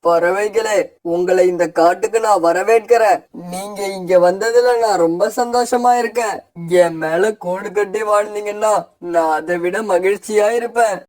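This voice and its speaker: A man's voice: high voice, normal speed, a little mellow, lightly acted. A man voicing a kindly talking tree, earnest and polite, in a children's cartoon fable. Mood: welcoming. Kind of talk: cartoon voice acting.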